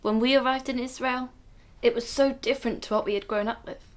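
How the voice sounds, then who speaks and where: A woman's voice: fairly high pitch, fairly even intonation, a little fast, close to an everyday voice. Woman playing earnest protective grandmother recounting hardship. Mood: solemn, reflective. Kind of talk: radio drama acting.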